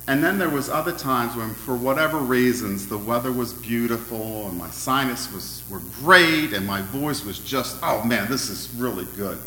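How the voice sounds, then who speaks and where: Loud-voiced preacher in an echoey hall. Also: male voice, medium pitch, a little hiss or hum. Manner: friendly, asks the congregation questions. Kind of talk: interactive sermon. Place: church hall.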